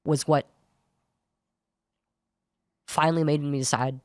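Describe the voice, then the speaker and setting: A young woman with a low voice that is hard to place as male or female, giving an earnest personal account as a podcast guest, often restarting her sentences. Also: fairly even intonation, slow, a little mellow, dry recording. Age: young adult. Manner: earnest, searching, many restarts. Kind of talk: personal account in studio talk show.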